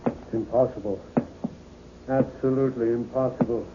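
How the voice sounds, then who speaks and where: An actor playing a tormented, stubborn novelist in a 1970s radio drama, husky-voiced, intense and halting. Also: male voice, mid-range voice, fairly even intonation, noisy background, close to an everyday voice. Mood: brooding, obsessed. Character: tormented, obsessive male novelist, stubborn and brooding. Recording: muffled, narrow-band vintage radio recording.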